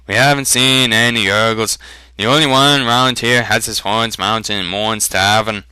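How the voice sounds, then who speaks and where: Man playing gruff storybook farmer, skeptical, blunt, crisp forceful voice, dry sound. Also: fairly low pitch, steady tone, measured pace, no room sound, rough recording. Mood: scornful. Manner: growling, blunt.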